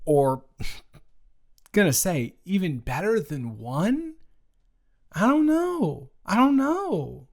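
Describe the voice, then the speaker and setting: A young American man improvising a casual roleplay chat, talking emphatically and haltingly, repeating words for stress. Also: high-pitched, very animated. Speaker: audio roleplay performer.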